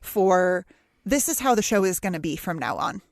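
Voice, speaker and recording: A woman's voice: fairly low pitch, lively intonation, normal speed, gravelly, no room sound. Wry woman podcaster, dry close sound. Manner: wry, joking. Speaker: podcast co-host. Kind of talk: casual TV discussion chat.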